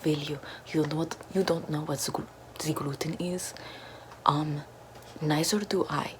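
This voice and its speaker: Young woman, low and breathy deadpan whisper, parody ASMR, close webcam, noisy home recording. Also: unhurried. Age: young adult. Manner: deadpan mock-mystic whispering. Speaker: ASMR creator. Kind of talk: parody ASMR role-play. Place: small bedroom with floral backdrop, indoors.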